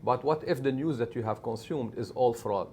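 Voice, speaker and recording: A man's voice: medium pitch, normal speed, darkish tone, a little hiss or hum. A middle-aged man whose first language is Arabic, answering interview questions in an emphatic way and often adding "right?" to his points. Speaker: media executive guest.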